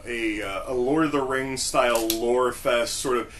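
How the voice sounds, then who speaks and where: Mid-pitched man, halting and repetitive. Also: steady tone, a bit bright. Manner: halting, emphatic repetition of phrases. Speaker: podcast co-host. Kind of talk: casual panel discussion. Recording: faint background noise.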